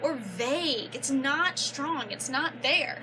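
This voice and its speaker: Young woman teacher doing a kids book read aloud, really high lively voice, goes slow, some noise behind. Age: young adult. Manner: instructive, prompting listeners with questions and affirmations. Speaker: student teacher reading aloud. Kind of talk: children's picture book read-aloud with explanations and questions.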